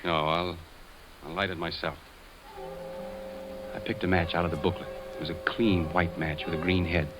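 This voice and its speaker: A man's voice: sits low, flat delivery, normal speed, long pauses, natural voice, low-quality recording. An American actor playing a suspicious, troubled husband who narrates his own story in a 1950s radio drama. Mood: suspicious, stern. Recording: vintage radio recording with music.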